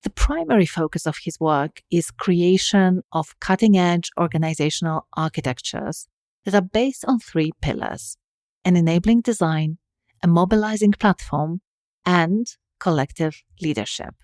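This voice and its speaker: Low-voiced woman host, dry close sound. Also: normal speed, a little mellow, dry recording. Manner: enthusiastic, articulate, laughs easily. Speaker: podcast host. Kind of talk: scripted intro, then interview questions.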